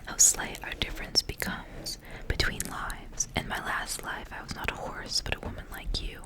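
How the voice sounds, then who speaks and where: Storybook role: talking skull of a dead horse, stern and solemn, breathy whisper only woman reader performing. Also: normal speed, close to an everyday voice. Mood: solemn, knowing.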